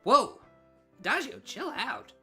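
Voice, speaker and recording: Woman acting wildly animated, cheeky young woman. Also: on the high side, slow, heavily acted. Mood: placating. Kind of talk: dramatized fan fiction audio reading. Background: background music.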